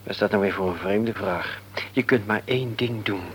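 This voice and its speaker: A man playing a calm, devout friend. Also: fairly low pitch, moderate pace, slight background noise, lightly acted. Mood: calm counsel. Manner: quiet, calm and counselling. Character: calm devout friend, grief-tested middle-aged man. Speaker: friend offering comfort. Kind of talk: radio play acting.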